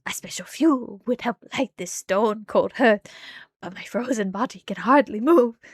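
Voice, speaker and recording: Woman acting animated game candlestick, male servant, rough French accent, courtly, worried, hesitant, dry close recording. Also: fairly high pitch, unhurried, dry recording. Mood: grateful, formal. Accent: rough put-on French.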